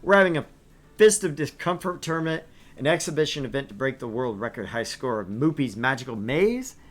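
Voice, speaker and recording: A man hosting a let's play, reading a visual novel aloud with lively, animated delivery and joking asides. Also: fairly high pitch.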